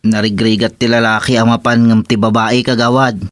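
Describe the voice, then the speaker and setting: A male actor plays a hard-up, resigned village farmer. He speaks with an Ilocano accent at an ordinary male pitch. The sound is dry and close, in the style of radio comedy drama. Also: flat delivery, a little fast, dry recording, close to an everyday voice. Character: plain rural man, hard-up farmer and fisher, resigned.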